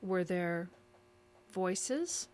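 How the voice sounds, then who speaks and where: A woman playing a composed, cryptic interrogator in a horror audio drama, measured and evasive. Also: fairly low pitch, lively intonation, a little mellow, smooth. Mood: probing. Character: cryptic, composed female interrogator offering help.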